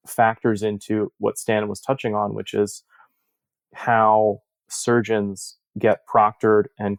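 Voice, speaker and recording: A man speaking as a surgeon and researcher in an interview, explaining in a measured way and posing rhetorical questions. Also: on the low side, gravelly, no echo. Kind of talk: interview discussion of surgical research.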